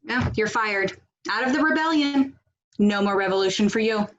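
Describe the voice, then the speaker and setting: A woman acting a blunt, sardonic protest organizer in a stage comedy over a video call, with a brisk, dry, bossy delivery. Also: mid-range voice, animated, highs cut off, lightly acted. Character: blunt, sardonic female leader of a protest cell.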